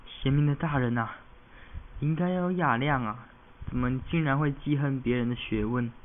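A man's voice: medium pitch, normal speed, slight background noise, close to an everyday voice. Man playing a proud young fox-spirit scholar, lo-fi. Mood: indignant, reproachful. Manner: indignant, boastful, lecturing. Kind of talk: amateur radio drama acting.

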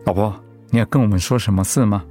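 A man playing a steady, devoted middle-aged husband and father in a radio drama, speaking in an earnest, composed way. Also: on the low side, quick pace, soft background music, natural voice. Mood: firm. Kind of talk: Mandarin radio drama acting.

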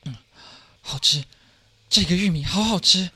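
A man's voice: sits high, unhurried, bright, forceful tone, slightly rough, heavily acted. A man voicing a grumpy young man turned into a cat, indignant and bewildered. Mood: pleased.

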